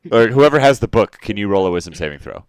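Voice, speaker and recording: A man's voice: fairly low pitch, animated, fast talker. A man running a tabletop game as game master, talking casually with joking, sweary asides.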